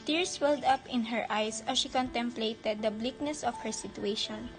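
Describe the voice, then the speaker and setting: High-voiced Filipino woman narrating radio drama. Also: moderate pace, over music, rough recording.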